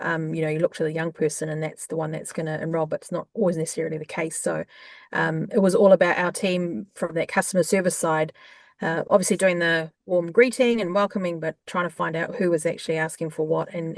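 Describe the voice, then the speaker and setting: A woman with a New Zealand accent, a guest in an interview, talking in a warm, chatty way with frequent fillers. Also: on the low side, no echo. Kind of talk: conversational interview.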